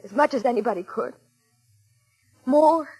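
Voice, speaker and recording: An actress playing an earnest, soothing American wife, devoted and anxious, in a mid-century radio melodrama. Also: female voice, high voice, a little slow, dark tone, husky, faint music behind, heavily acted, rough recording. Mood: tender, reassuring. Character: devoted, anxious wife who believes in her failing husband.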